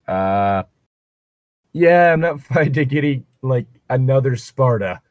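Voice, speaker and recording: A man's voice: medium pitch, lively intonation, takes it slowly, darkish tone. A man co-hosting a casual fan fiction read-along, riffing with wry asides as he reads. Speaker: co-host of a fan fiction reading show.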